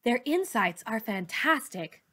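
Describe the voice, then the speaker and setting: A proud and guarded female teacher with exacting standards, played by a woman reader. Her bright voice is firm and earnest, with a defensive edge, in storybook dialogue. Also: a little high, big pitch swings, measured pace, heavily acted. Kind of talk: children's novel read-aloud dialogue.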